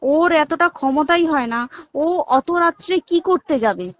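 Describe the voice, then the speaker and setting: High-pitched Bengali woman on a muffled call. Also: a little slow, fairly bright tone, no room sound. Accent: Bengali from West Bengal. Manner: emphatic, explanatory, checks listener is following. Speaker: hospital staff member. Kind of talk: casual phone conversation recounting events. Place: recorded phone call. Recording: dull lo-fi phone call recording.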